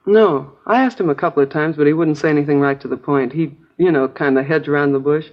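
A woman's voice: sits low, quick pace, lightly acted. An actress playing a chatty, naive American girlfriend in a 1950s radio crime drama, casual, rambling and a little vague. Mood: puzzled, open. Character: chatty, naive, easygoing working-class girlfriend of a crook. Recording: dull old radio broadcast recording.

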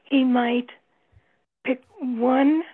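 A woman on a tinny phone line talking unscripted on radio, haltingly, in short phrases with long pauses. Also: high voice, steady tone.

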